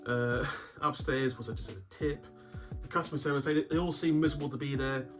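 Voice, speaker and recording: Sore-throated man, slightly high voice. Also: steady tone, a little fast. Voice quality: slightly muffled by a cough sweet in the mouth. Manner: rambling, wry, chuckles often. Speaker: YouTube game collector host. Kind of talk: casual chat vlog. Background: background guitar music.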